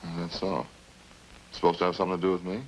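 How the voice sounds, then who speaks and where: A man's voice: low voice, monotone, fast, lightly acted, poor audio. An actor playing an evasive male ex-convict suspect in a 1950s radio crime drama, full of indignant, slangy denials. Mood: defensive. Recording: hissy old-time radio recording.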